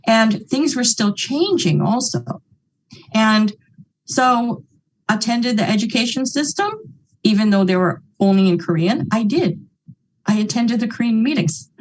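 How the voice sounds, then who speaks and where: A woman's voice: a little high, lively intonation, a little slow, poor audio. A woman from Hawaii giving a motivational sales talk in an earnest, chatty way, often checking in with "okay?" and "right?". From Hawaii, United States. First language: English. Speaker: network marketing leader.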